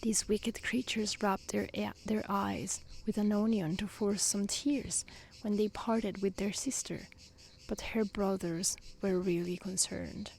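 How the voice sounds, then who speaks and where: A woman reading a fairy tale aloud in a soft, breathy ASMR style that often slips toward a whisper, gentle and careful. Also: medium pitch, fairly even intonation, slow pace. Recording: faint background noise with chirping insects. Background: outdoor nature ambience, crickets.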